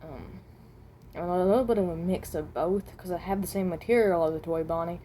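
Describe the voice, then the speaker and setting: A woman voicing a weary, self-loathing animatronic rabbit, halting and often trailing off, in an amateur improvised monologue. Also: fairly low pitch, dark tone, slight background noise, lightly acted. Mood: hesitant, awkward.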